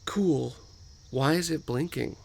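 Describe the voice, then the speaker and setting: A man's voice: a little high, takes it slowly, gravelly, darkish tone, voice pushed up, heavily acted. A man voicing an offhand fourteen-year-old girl in a table read of a screenplay. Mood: curious. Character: fourteen-year-old girl, distracted movie fan, curious.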